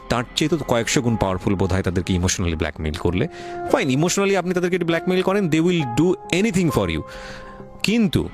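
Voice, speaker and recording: A man with a Bangladeshi accent hosting a radio show, giving emphatic moral advice, repeating his points and slipping in English phrases. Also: lowish voice.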